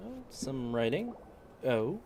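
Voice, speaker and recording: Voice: medium pitch, very animated, slow pace, a little mellow, a little hiss or hum. A game streamer giving casual, laid-back commentary, often echoing the game's lines.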